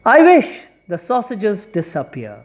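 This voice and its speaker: A poor storybook fisherman acted by a narrator, tired and plaintive, speaking slowly. He is gentle and henpecked, with an everyday, unforced sound. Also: mid-range voice, huge pitch swings. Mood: sad.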